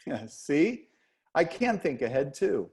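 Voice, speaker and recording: Man playing a playful dance teacher, animated delivery. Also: a little high, slow pace, exaggerated voice. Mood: warm, encouraging. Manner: teasing, encouraging. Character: charming, patient, flirtatious male dance teacher. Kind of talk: play reading, romantic comedy.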